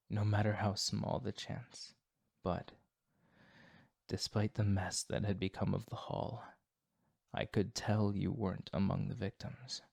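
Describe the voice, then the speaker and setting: Casting: male actor for courteous, tormented vampire in ASMR roleplay; gravelly, close and dry, formal, soft-spoken. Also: deep voice, no room sound, full character voice. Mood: weary, protective.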